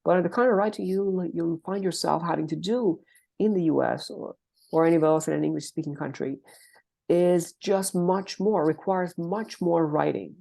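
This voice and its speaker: A woman's voice: fairly low pitch, normal speed, creaky, warm tone. A woman professor giving explanatory interview answers, careful and hesitant, often restarting and rephrasing her words. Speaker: linguistics professor, interview guest.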